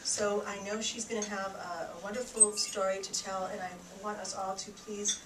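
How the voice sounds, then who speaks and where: A woman's voice: medium pitch, level tone, moderate pace. A woman hosting an event, formally introducing a veteran guest with a few hesitant fillers. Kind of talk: public introduction. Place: room with an audience. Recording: echoey room from far off with background noise.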